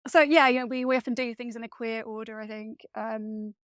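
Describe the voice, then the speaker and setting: A woman's voice: on the high side, steady tone, a little fast, smooth, no echo, studio quality. A British woman around forty, an author chatting casually as she is interviewed. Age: late 30s to 40s. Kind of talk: casual conversational chat.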